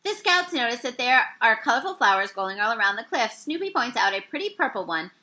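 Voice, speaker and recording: A woman reading a children's story aloud, with expressive and carefully phrased narration. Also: fairly high pitch, a little fast. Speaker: storybook reader.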